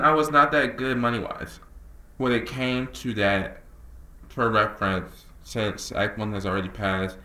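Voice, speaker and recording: A man of nineteen talks casually and earnestly to camera as a creative director, drawling some words and rushing others. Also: fairly low pitch, pushed and bright. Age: young adult. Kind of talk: casual talking-head vlog. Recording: echoey room.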